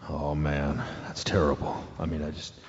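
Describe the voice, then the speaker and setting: A man acts as a talk show guest with an extremely low voice, bashful and plain-spoken as he hesitates along. He sounds like a lumbering charity promoter in a radio play. Long reverb hangs on the sound. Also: monotone, normal speed. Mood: glum. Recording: dull lo-fi audio with long reverb.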